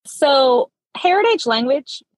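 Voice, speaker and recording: A woman's voice: sits high, sing-song, slow pace, no echo. A woman from Miami speaking on a panel as an educator and researcher, earnest and personal. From Miami, Florida. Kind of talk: conversational panel answer.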